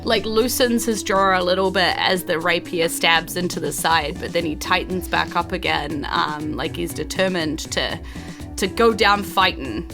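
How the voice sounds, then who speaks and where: Woman, New Zealand accent, lowish pitch, game master, improvised narration, informal, encouraging, music underneath. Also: a little slow, vocal fry. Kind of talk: improvised tabletop game narration and casual chat. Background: background music.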